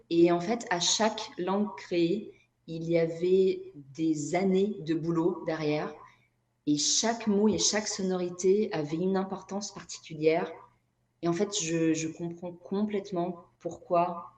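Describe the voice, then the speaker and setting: A woman's voice: fairly low pitch, mellow. A woman from Brazil whose native language is Portuguese, speaking reflectively as an interview guest and often searching for her words. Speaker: interview guest, energy therapist and writer. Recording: echoey room.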